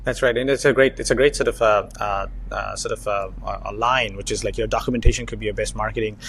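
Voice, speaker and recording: A man's voice: on the low side, fairly even intonation, fast talker, gravelly, a bit bright, slight background noise. A man hosting a podcast, asking long, hesitant questions with many fillers. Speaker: podcast host, investor. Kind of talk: interview questions, conversational.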